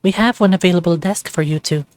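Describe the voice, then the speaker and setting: Man putting on a high-voiced brisk female lab supervisor. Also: darkish tone, no echo, soft background music, lightly acted. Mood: brisk, probing.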